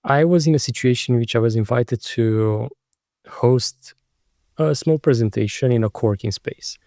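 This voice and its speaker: A man's voice: mid-range voice, normal speed, dark tone, no room sound, studio quality. A man from Romania with a Romanian accent, a non-native English speaker, hosting a podcast interview in a conversational way and sometimes searching for words. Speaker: podcast host.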